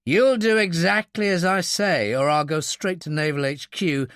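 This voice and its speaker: A man's voice: on the high side, steady tone, normal speed, fairly bright tone, smooth, dry recording, natural voice. Man acting a curt, steely army captain. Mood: cold threat. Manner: curt, dry, firm. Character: steely, weary, patient male army captain in command.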